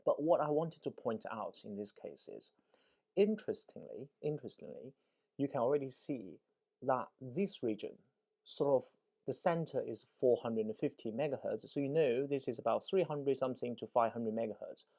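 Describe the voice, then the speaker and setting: A man's voice: mid-range voice, moderate pace, warm tone. A man explains a technical demonstration informally, repeating words for emphasis and often checking in with a short questioning tag. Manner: checks understanding with frequent "right?" and "okay?", repeats words for emphasis. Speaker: presenter, EMC engineer.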